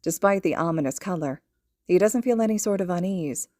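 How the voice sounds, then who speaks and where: A woman's voice: fairly low pitch, expressive, brisk, dark tone, dry recording, clean studio sound. A woman reading a story aloud as a scripted podfic narration.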